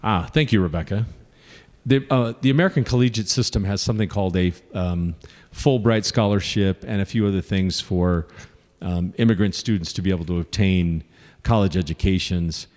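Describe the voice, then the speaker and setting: A man's voice: low voice, moderate pace, a little mellow, big-room sound. A man running for office, earnestly answering audience questions at a forum and listing his points with frequent fillers. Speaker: congressional candidate.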